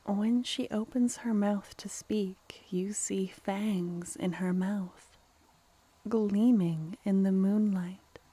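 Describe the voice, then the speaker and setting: A woman softly narrating a scripted fantasy story in ASMR style, with a breathy, near-whispered voice. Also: mid-range voice, fairly even intonation, takes it slowly.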